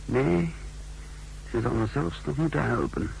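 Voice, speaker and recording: An actor playing a cool, calculating secret agent who narrates his mission in a measured, introspective way in a 1960s radio drama. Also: medium pitch, gravelly, a little mellow, poor audio. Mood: brooding. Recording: hissy 1960s radio recording.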